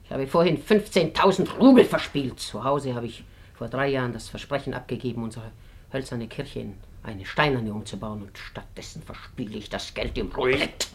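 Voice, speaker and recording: An actress playing an imperious, blunt old Russian matriarch with a gambling streak in a German radio play, brusque and commanding. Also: female voice, rumbling low, moderate pace. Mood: blunt, stern.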